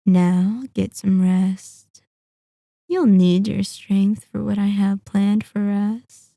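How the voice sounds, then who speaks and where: Woman doing a sadistic vampire mistress for asmr, super slow n breathy, really dark mellow voice, possessive. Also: medium pitch, steady tone, no room sound, clean studio sound. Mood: possessive, soothing. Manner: slow, lingering, condescending endearments. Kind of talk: roleplay ASMR.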